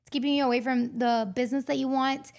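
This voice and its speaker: A woman's voice: high-pitched, steady tone, quick pace, creaky, a little mellow. A woman in her twenties from Costa Rica, a mindset coach talking earnestly as a podcast guest, with many filler words. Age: 20s. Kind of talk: podcast interview.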